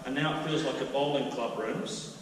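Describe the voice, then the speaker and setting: A middle-aged man, a guest speaker telling stories to a church in a loud, chatty way. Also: medium pitch, moderate pace. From Australia. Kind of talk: church talk. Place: church hall. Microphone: handheld mic. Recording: echoey hall from far off, with noise.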